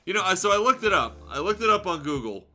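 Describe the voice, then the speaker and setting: A man's voice: high voice, sing-song, fast talker, bright, forceful tone. A man streaming a fan fiction read-aloud, switching between narration and wry, sarcastic, sweary commentary.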